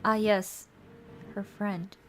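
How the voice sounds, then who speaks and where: A woman's voice: mid-range voice, little pitch movement, slow. A woman streamer reading a visual novel aloud and chatting casually between passages. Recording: music faintly behind the voice. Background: music.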